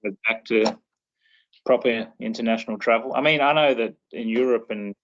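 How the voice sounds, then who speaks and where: Male podcast host, relaxed and rambling, gravelly voice on a dull recording. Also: mid-range voice, normal speed. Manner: laid-back, loose and rambling. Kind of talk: casual chat.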